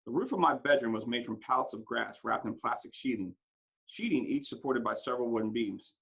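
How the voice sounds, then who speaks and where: A man reading a first-person story aloud in an expressive, dramatic way, occasionally stumbling over a word. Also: medium pitch, brisk, gravelly, slightly rough. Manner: dramatic, acts out dialogue, stumbles over words now and then. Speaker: school principal reading a book aloud.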